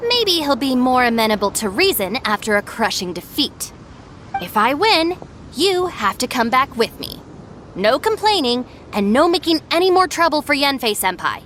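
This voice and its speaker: A woman's voice: sits high, sing-song, moderate pace, a bit bright, a little hiss or hum. A woman playing a sensible, stern young gang deputy in an anime game, speaking in a firm, no-nonsense way. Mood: challenging.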